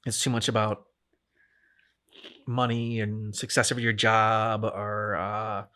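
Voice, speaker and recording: Male co-host, bright forceful tone, casual and thoughtful, halting delivery with repeated words. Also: lowish voice, level tone. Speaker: co-host of a reaction channel. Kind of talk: casual reflective discussion.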